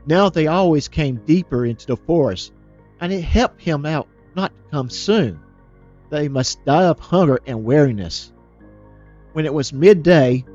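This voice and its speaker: A man reading a fairytale aloud as a storyteller, steady and plain, now and then restarting a word. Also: medium pitch, dull audio.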